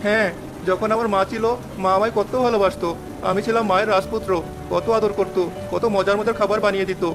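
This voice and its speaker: A woman's voice: mid-range voice, flat delivery, fast talker, lightly acted. A woman voicing a sad, humble young village boy in a children's fairy-tale cartoon, earnest and pleading. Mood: sorrowful. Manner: plaintive, pleading. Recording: music under the voice.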